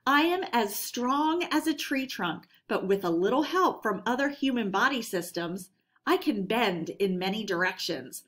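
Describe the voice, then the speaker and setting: A woman teacher leads a read-aloud lesson for schoolchildren. She speaks at an unhurried pace with a lively, varied pitch. Her delivery is instructional and addressed straight to the pupils.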